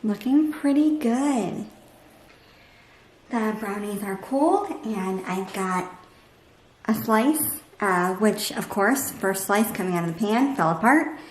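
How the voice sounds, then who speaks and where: Woman vlogging in an echoey room. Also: a little high. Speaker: home cooking and farm vlog host. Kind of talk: casual vlog chat while demonstrating. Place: indoors at home, kitchen. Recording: echoey room with background noise.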